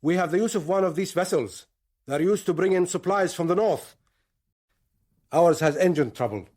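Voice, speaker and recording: An actor playing a smugly menacing militant leader in a radio drama, authoritative, probing and condescending. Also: male voice, high-pitched, lively intonation, normal speed, a little mellow. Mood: peremptory.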